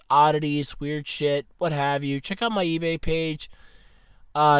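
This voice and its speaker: A man's voice: fairly high pitch, clear, resonant tone, no echo. A man hosting a casual solo podcast while sick and losing his voice, talking frankly and grumbling, very close to the microphone. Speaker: podcast host. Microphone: very close mic, about two inches away.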